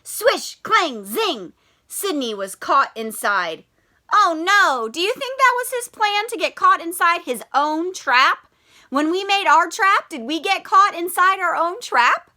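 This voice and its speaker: A woman teacher reading a picture book aloud to young children. Her high, bright voice swoops up and down with lively expression. She pauses often to ask her listeners questions.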